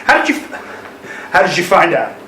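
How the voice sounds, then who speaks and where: An actor playing an earnest, polite British ex-forces husband in a radio play. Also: male voice, sits high, huge pitch swings, normal speed, sharp tone, full character voice. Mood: shaken. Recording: roomy amateur recording with faint noise.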